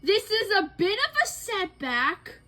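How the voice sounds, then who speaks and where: A woman's voice: extremely high pitch, expressive, measured pace, sharp tone, pitched above the performer's own voice, full character voice. A woman voicing a cheerful, frank little male snowman in a storybook, upbeat and exclaiming.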